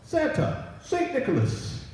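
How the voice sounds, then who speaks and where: Man voicing a gentle, encouraging God in an echoey space. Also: very high voice, huge pitch swings, slow, pitched above the performer's own voice, exaggerated voice. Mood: calling out. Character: kindly, reassuring male voice of God. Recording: echoey room, distant microphone.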